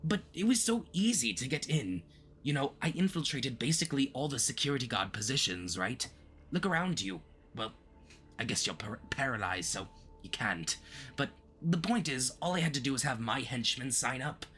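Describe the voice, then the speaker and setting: A man's voice: mid-range voice, very animated, moderate pace, a bit bright, a little hiss or hum. A man playing a smug but easily flustered, obsessive supervillain in a flirty, theatrical roleplay addressed to the listener, with awkward stammering slips. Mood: scornful, gloating.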